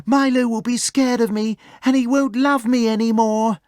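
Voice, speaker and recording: A man voicing a big but gentle-hearted storybook bear, sad and anxious, in a plaintive, earnest way. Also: very high voice, steady tone, normal speed, no room sound, clean studio sound, pitched above the performer's own voice. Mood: panicked.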